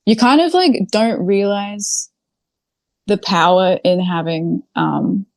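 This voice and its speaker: A woman's voice: medium pitch, slow pace, creaky, dry recording. A woman from New Zealand chatting informally as an interview guest, peppering her talk with "like" and "literally". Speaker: podcast guest, video creator. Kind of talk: casual interview chat.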